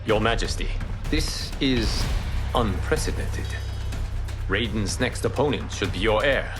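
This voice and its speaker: A grave, dignified mentor played by a man in a game cutscene. His voice is on the low side, solemn and formal, with music clearly underneath. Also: unhurried, close to an everyday voice. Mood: concerned. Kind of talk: dramatic video game cutscene acting.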